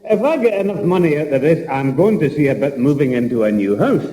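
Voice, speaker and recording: A man's voice: a little high, big pitch swings, quick pace, warm tone. A man with a Scottish accent playing a hearty old grandfather who likes a drink, in a 1960s radio sitcom. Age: older. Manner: hearty, jovial. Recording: echoey old radio studio.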